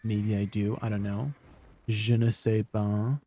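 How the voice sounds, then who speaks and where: A man's voice: lowish voice, flat delivery, measured pace, dark tone, no echo. A man who grew up in Canada and whose first language is Tagalog, live-streaming a game. He chats casually, laughs often, and explains controls with plenty of ums. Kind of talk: casual live-stream chat and gameplay tips.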